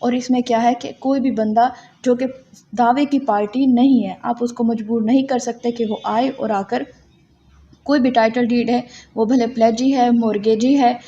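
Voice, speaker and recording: A woman's voice: on the high side, fairly even intonation, moderate pace, mellow. A woman with a Pakistani accent giving a law lecture, reading legal text aloud and explaining it in a didactic way, often asking a question and answering it herself. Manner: didactic, poses questions and then answers them. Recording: dull lo-fi audio, faint background noise.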